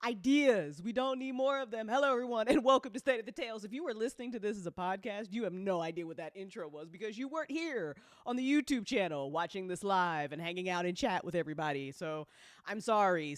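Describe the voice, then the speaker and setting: A woman hosting a casual livestream, chatting informally with viewers in a playful, teasing, sweary and animated way. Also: fairly low pitch, fast, no room sound, studio quality. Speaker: host of an audio drama network's livestream.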